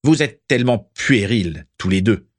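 A man's voice: on the low side, normal speed, no room sound, clean studio sound, lightly acted. A man voicing a harsh old aristocratic father in a storybook, contemptuous and biting. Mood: furious scorn. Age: older.

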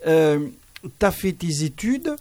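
A man's voice: fairly high pitch, big pitch swings, takes it slowly, a little mellow, dry recording. A man hosting a radio studio interview, talking in a reflective, musing way with lyrical asides. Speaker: radio host.

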